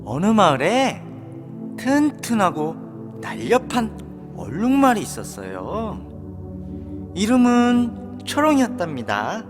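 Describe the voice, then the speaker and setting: Male storyteller, children's tale, slightly high voice, animated melody, reverberant, music behind. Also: slow pace, pauses often. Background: background music.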